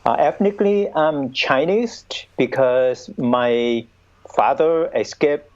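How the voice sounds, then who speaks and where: A man's voice: mid-range voice, slow. A man in his fifties from Vietnam, speaking as an interview guest. He recounts his past hesitantly, with frequent restarts. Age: 50s. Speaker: Buddhist monk, guest. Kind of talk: conversational interview. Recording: dull lo-fi sound.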